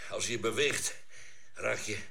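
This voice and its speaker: A practical astronaut doctor in a radio play. He speaks slowly in a lowish, creaky voice with a bright edge. His delivery is calm and matter-of-fact. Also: heavily acted. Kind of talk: Dutch science fiction radio drama acting.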